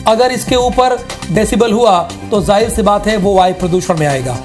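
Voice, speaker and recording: A man's voice: high-pitched, normal speed, music in the background. A man anchoring live television news in an explanatory way, posing rhetorical questions.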